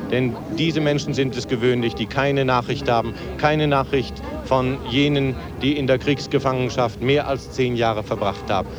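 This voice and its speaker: A man working as a radio reporter, speaking formally and politely as he reports and interviews on location outdoors. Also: mid-range voice. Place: outdoors among a waiting crowd. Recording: vintage outdoor radio recording, music behind.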